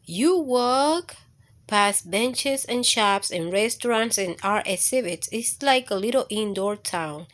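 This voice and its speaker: A woman hosting storytime for children, reading aloud with deliberate phrasing and speaking straight to her young listeners. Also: medium pitch, expressive, a bit bright.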